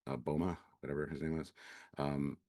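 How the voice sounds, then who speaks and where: A man co-hosting a live review show, laid-back and halting, his voice raspy from a cold. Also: rumbling low, fairly even intonation, dark tone, no room sound, studio quality. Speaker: co-host. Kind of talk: live-stream review chat.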